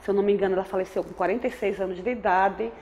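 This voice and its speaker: A woman's voice: medium pitch, steady tone, racing, darkish tone, slight background noise. A woman in her forties from Aracaju with a Sergipe accent, an anthropologist telling her life story in a thoughtful, reflective way and often adding tag questions. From Aracaju, Sergipe, Brazil. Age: 40s. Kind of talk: reflective personal testimony.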